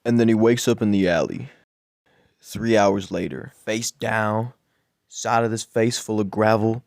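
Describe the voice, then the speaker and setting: Guy rambling thru a crude bedtime story, deadpan, cussing a lot, dry close mic. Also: lowish voice, measured pace, gravelly, no echo. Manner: profane, deadpan, rambling with repeats.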